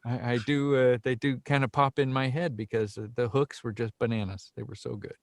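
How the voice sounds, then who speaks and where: A man's voice: mid-range voice, steady tone, brisk, no room sound, studio quality. A man chatting casually and jokily on a group video call, rambling and often repeating himself. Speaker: voice actor and participant in a script table read. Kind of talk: casual group chat after a table read.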